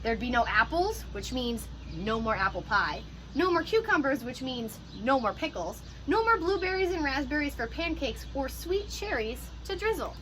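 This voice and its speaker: A woman who teaches pre-K, reading a picture book aloud to young children in an animated, encouraging way with teacher asides. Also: high voice, moderate pace, slight background noise.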